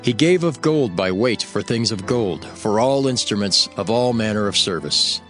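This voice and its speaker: Low-voiced male scripture narrator over soft music. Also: expressive. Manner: formal, deliberate phrasing. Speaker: Bible narrator. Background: soft background music.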